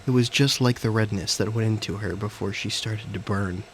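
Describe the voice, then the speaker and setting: Male narrator, first-person thriller drama, lowish voice, measured dramatic phrases, slight background noise. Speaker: narrator and character of an audio story. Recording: faint background noise and ambience.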